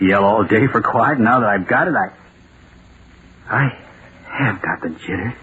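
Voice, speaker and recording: The recording is narrow and tinny with clear old radio noise. an anxious American writer in a vintage radio drama, self-doubting and theatrical. He talks in halting, muttered bursts as if to himself, edging toward fear. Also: fairly low pitch, a bit bright. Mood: nervous musing. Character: jittery, self-doubting male radio playwright sliding into terror.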